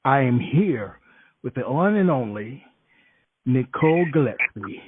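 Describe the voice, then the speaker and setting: A man's voice: medium pitch, animated, unhurried. A man hosting a conversational radio interview, heard over a noisy, tinny phone-like connection. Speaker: radio show host.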